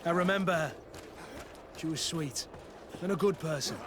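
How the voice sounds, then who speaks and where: Game casting: male actor as solemn veteran soldier, slightly high voice, earnest and burdened, outdoor noise behind. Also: moderate pace, close to an everyday voice. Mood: candid, reflective. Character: betrayed, regretful soldier mentor of the Guard. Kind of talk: dramatic video game dialogue.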